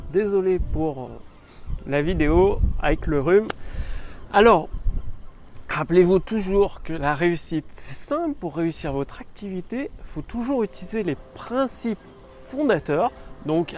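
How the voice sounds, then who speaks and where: A man with a cold giving business coaching in a casual vlog, standing outdoors on a rock on an island, repeating his points emphatically. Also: sits high. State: has a cold.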